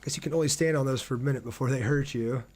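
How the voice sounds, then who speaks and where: A man's voice: mid-range voice, racing, a little mellow, a little hiss or hum. A man doing casual live gameplay commentary as a streamer, chatty, thinking aloud and reading out viewer messages.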